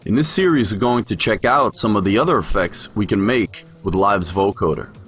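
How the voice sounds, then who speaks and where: A man's voice: fairly low pitch, lively intonation, normal speed, fairly bright tone. A man giving an explanatory voice-over tutorial as a music production instructor.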